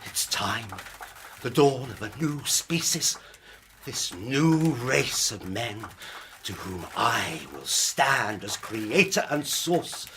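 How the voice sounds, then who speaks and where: Over clear background noise from a live stage, a tormented young scientist in a radio play, obsessed and grandiose. He declaims slowly and impassioned, with pitch that swings widely. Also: animated, bright, forceful tone. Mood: exultant, grandiose. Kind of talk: theatrical radio drama monologue. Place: theatre stage, live performance. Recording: noisy live stage recording.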